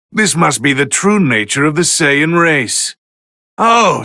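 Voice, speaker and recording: Imperious, bored video game deity commentator: theatrical and easily irked, forceful gravelly tone, pitched a bit high, very dry recording. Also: male voice, no room sound, exaggerated voice. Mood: smug, then intrigued. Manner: pompous, theatrical, drawling disdain. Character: haughty, bored god of destruction, male, easily irritated. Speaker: fighting game commentator character.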